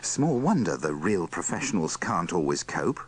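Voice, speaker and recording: Guy doing dry tongue in cheek narration, low voice that moves around a lot. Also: big pitch swings, moderate pace, slightly rough. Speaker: narrator of a radio bloopers compilation. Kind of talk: scripted wry narration.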